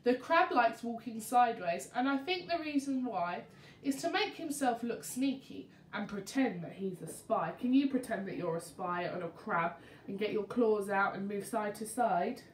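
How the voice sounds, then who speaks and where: Female children's story reader: expressive, interactive, lively swooping pitch, echoey room with faint noise. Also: normal speed. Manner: expressive, questioning, addresses young listeners. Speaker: teacher reading to young children. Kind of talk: interactive children's picture book reading. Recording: roomy, distant mic, faint noise.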